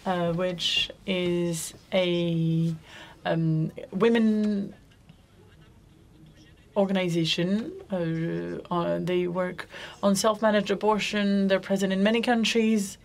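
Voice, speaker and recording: Low-voiced woman moderating a panel. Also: little pitch movement, slow. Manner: loose, self-correcting, with frequent restarts. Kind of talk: conference panel introduction. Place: conference room.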